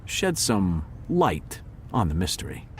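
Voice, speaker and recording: Deep, self-assured storytelling tomcat. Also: male voice, very animated, takes it slowly, background noise, exaggerated voice. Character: male cat narrating a murder mystery, low and knowing. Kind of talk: audio drama narration.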